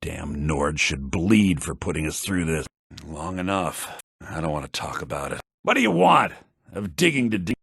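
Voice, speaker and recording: An actor playing a brash, sharp-tongued fantasy townsman and fighter in a video game, with a rough, gravelly voice. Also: rumbling low, very animated, normal speed, no room sound, studio quality, full character voice. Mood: gruff, guarded.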